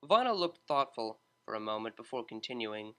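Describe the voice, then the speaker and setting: A man narrating a fan-written story in a steady, measured reading. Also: on the low side. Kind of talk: fanfiction audiobook narration.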